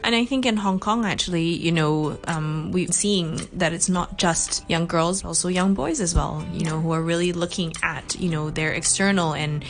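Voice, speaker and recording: A woman's voice: fairly low pitch, steady tone, normal speed, hardly any pauses, faint music behind. A woman hosting an interview show, asking questions with many "you know" fillers. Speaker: host.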